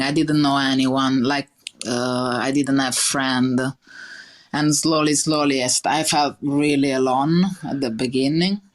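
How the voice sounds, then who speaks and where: A woman in her thirties with a strong Italian accent, speaking earnestly in a podcast interview, with many fillers and restarts. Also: rumbling low. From Italy. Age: 30s. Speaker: chef guest.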